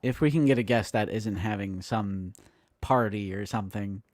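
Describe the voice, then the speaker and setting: A man's voice: on the low side, moderate pace, dry recording. Joking man in his late twenties, dry sound. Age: late 20s. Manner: animated and joking, acts out quotes. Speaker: co-host of a toy collecting live stream. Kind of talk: casual live-stream chat.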